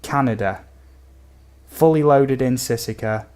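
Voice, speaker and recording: A man from the UK with a slightly croaky voice, chatting casually and easily to viewers as a livestream host. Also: mid-range voice, a little slow, darkish tone. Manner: easygoing, chatty, addresses viewers as buddy and guys. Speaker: game streamer, host.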